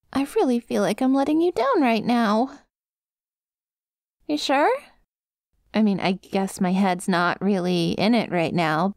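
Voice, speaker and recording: A woman's voice: on the high side, gravelly, a little mellow, no echo, clean studio sound. Scatterbrained, friendly dog-girl study buddy, acted by a woman. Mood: apologetic, friendly. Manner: casual, rambling, hesitant, easily sidetracked. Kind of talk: ASMR roleplay, one-sided conversation with listener.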